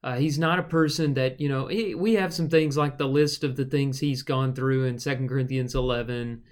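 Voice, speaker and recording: A man's voice: mid-range voice, fairly even intonation, moderate pace. A man preacher co-hosting a casual Bible study podcast, thinking aloud with fillers and restarts.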